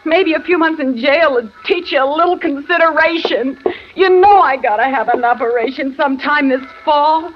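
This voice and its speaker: Woman acting high-voiced, nagging, fed-up wife. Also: moderate pace, faint music behind, natural voice. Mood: cold, unyielding. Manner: scolding, sarcastic, accusatory. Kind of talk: 1940s radio thriller acting. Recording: muffled, noisy old radio recording.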